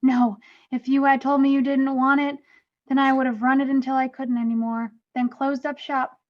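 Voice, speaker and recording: Woman as a high-voiced, emphatic ghost mother. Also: level tone, normal speed, muffled recording, natural voice. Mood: firm, motherly. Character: ghost of a proud, brisk, loving mother. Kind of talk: animated comedy table read.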